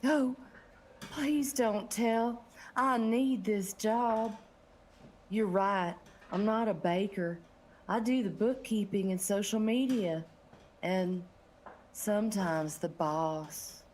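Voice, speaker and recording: A woman's voice: mid-range voice, slow, darkish tone, a little hiss or hum, lightly acted. A woman playing a flirty, ditzy, put-upon bakery bookkeeper in a comedic audio drama, coy in manner. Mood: plaintive.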